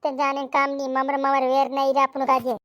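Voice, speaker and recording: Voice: sits high, monotone, very fast, a bit bright, dry recording, clean studio sound. A performer voicing a cartoon village farmer who laments in repetitive, doubled words for a regional comedy. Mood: lamenting.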